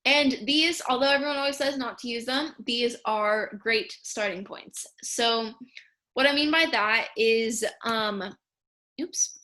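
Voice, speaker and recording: A woman's voice: a little high, unhurried, pushed and bright. A young woman giving a casual slideshow lesson on speech research, chatty with many fillers. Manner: chatty, lots of "um" and "like". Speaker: speech and debate student coach.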